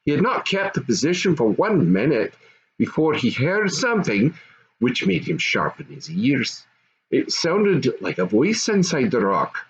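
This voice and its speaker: A man's voice: fairly high pitch, huge pitch swings, unhurried, dull audio, a little hiss or hum. An older man, a grandfather, reading a children's story aloud with expression. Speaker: storybook reader.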